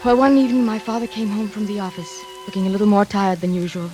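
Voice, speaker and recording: A woman's voice: mid-range voice, fairly even intonation, quick pace, dark tone, soft background music, close to an everyday voice. An actress playing a dutiful, lonely young librarian who tells her own story in a 1940s radio drama. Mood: wistful, somber. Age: young adult. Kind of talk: 1940s radio drama first-person narration. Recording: vintage 1940s radio recording.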